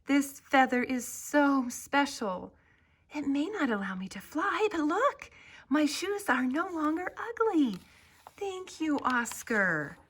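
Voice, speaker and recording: Woman playing an emotive, bright-voiced warrior princess. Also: high voice, big pitch swings, takes it slowly, voice pushed up, heavily acted. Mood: grateful, relieved. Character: young warrior princess, heartfelt protector of her village. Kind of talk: children's storybook character voice.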